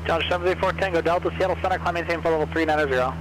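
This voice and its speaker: A man speaking as an air traffic controller, giving clipped clearances over a radio. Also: on the high side, steady tone, racing. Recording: radio voice over engine hum. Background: engine hum.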